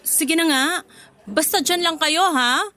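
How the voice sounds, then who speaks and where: High, excitable Filipina mother. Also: unhurried. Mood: coy, fussy. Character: excitable, coy middle-aged mother, protective, easily charmed. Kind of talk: Filipino radio drama acting.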